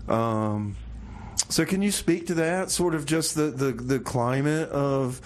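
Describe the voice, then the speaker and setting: A man hosting a casual radio interview, chatty and halting, often repeating words as he builds a question. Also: medium pitch. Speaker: radio show host, interviewer.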